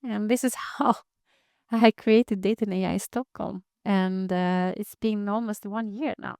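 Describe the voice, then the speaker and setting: Earnest woman, crisp dry voice. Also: medium pitch, fairly even intonation, unhurried, darkish tone, dry recording. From Greece. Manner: earnest, many fillers and restarts. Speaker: community founder, data engineer. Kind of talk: interview answers.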